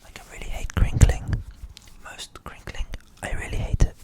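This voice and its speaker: A young man who grew up in England with Ukrainian as his first language, whispering softly as an ASMR host answering viewer questions and thinking aloud. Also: normal speed, low-quality recording. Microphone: studio USB microphone.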